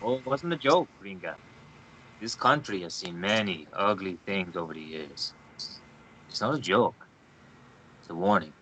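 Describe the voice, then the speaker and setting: A man's voice: low voice, lively intonation, a little slow, pauses often, pushed and bright, slight background noise, heavily acted, low-quality recording. An actor playing a grim male investigator in a screenplay read, giving grave, faintly menacing lines. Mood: grave, warning.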